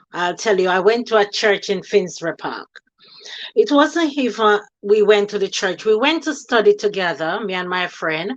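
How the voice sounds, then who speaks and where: A woman's voice: mid-range voice, dull audio. A woman born in Jamaica and raised in England, a nurse telling her story earnestly in an interview over a video call. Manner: earnest storytelling, slips into quoting others. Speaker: guest, nurse running a charity.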